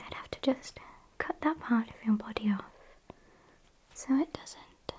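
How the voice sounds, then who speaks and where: A British woman playing a sassy, morbid gothic vampire kidnapper in a whispered ASMR roleplay, soft, unhurried and deadpan. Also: on the high side, natural voice, poor audio. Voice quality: breathy whisper.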